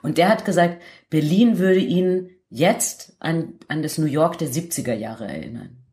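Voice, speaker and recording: A woman's voice: sits low, expressive, unhurried, warm tone, echoey room. A woman hosting a conversational podcast interview, putting her views forward while searching for words and restarting. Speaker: host, interviewer.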